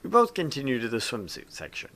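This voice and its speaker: Animated, bright-voiced man hosting a game. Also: medium pitch, quick pace. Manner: goofy, jokey, switches between reading and banter. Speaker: gaming show host and reader. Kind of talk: casual visual novel playthrough, reading aloud and joking.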